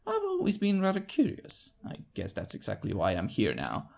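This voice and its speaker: Male narrator, expressive first-person fiction read, lively pitch swings, gravelly edge. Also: moderate pace. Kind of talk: first-person scripted story narration in character.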